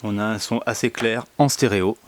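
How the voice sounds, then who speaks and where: A man giving a casual product demonstration indoors, speaking in a hesitant, halting way with many pauses. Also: fairly low pitch, fairly even intonation. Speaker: product reviewer.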